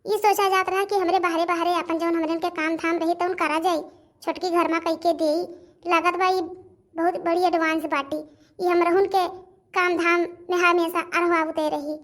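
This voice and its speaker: An extremely high-pitched village housewife from a cartoon family comedy, acted by a woman. She has a rustic Awadhi accent and talks in a chatty, repetitive, gossipy way, like an in-law with opinions on everyone. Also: level tone, moderate pace. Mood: grumbling, astonished. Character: village women of a household, gossiping, admiring and grumbling in-laws.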